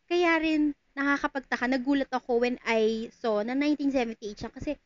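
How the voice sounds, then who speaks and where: High-voiced Filipino woman, casual book chat. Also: a little fast, no room sound, dull audio. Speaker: podcast co-host. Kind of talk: casual book chat, switching between English and Tagalog.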